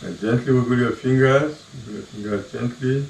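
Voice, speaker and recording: A man leading a guided relaxation, giving slow, soft, calm cues with long pauses between them. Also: mid-range voice, vocal fry. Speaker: meditation guide. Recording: roomy echo, voice far from the mic, faint ambient hum.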